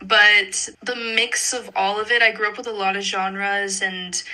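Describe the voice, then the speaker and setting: Bright-voiced young woman chatting. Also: medium pitch, level tone, measured pace, low-quality recording. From Montreal, Canada. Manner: chatty, informal, sprinkled with "like". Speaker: singer-songwriter interview guest. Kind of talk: casual interview chat.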